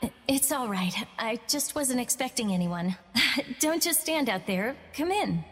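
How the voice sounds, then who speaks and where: An actress playing a caring, worried young woman soldier in a game dub, speaking gently and earnestly. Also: on the high side, lively intonation, unhurried. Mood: gentle, welcoming. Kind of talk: anime game English dub acting. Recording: reverberant, music behind.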